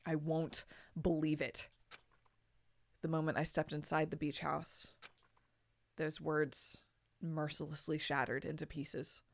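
A woman's voice: low voice, level tone, slow pace, vocal fry, pauses often, no room sound, heavily acted. A woman voicing a shocked, grieving young man narrating a video game story in a subdued, solemn way. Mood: stunned, somber.

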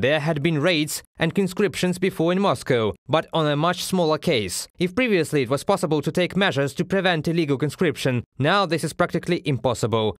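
A man's voice: a little high, dry recording. Man reading dubbed quotes, dry. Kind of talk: dubbed voice-over translation.

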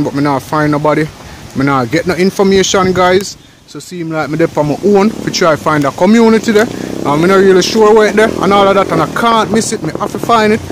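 A young Jamaican man vlogging on a rural road into a handheld phone, chatty and calling his viewers peeps and guys. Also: a little high. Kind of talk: walking vlog and street interview. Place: outdoors on rural hillside road. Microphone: handheld phone camera. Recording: outdoor phone audio with clear background noise.

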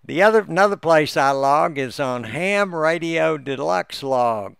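A man talks through a computer task step by step in a casual, unhurried way. His voice is crisp and resonant with a creaky, gravelly edge, and it sounds close and dry. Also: a little high, lively intonation, a bit bright, dry recording. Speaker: amateur radio hobbyist giving a software tutorial. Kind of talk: casual screen-recorded walkthrough.

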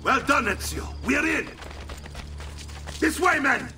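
An actor playing a commanding middle-aged Italian uncle and mercenary captain, theatrical, with an Italian accent, in a video game. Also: male voice, very high voice, lively intonation, unhurried, distant mic, background noise. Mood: triumphant shouting. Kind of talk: dramatic video game voice acting.

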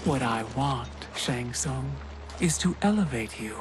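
Brief: male actor as a slow, measured, seductive stranger, mid-pitched, game cutscene. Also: animated, over music, natural voice. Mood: persuasive. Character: mysterious, persuasive male tempter. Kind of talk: video game cutscene acting.

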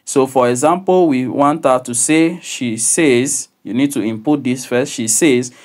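A man presents a software tutorial. His medium-pitched voice is deliberate and instructive as he explains things step by step. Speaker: tutorial host.

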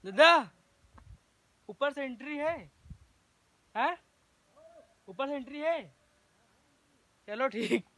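A man's voice: extremely high pitch, lively intonation, slow, pauses often, sharp tone. A young man vlogging outdoors at a farm house, joking and talking straight to a phone camera held at arm's length. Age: young adult. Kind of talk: casual vlog narration. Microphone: phone selfie camera at arm's length.

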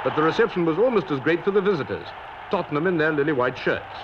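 A man's voice: a little high, animated, fast talker, heavy background noise. Man narrating a 1950s newsreel, muffled vintage sound. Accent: British. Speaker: newsreel reporter. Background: music.

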